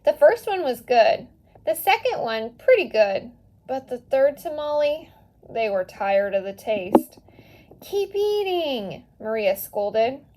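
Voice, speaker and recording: A woman reading a children's story aloud in an animated, expressive way with emphatic pauses. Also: a little high, background noise. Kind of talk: animated children's read-aloud with casual asides.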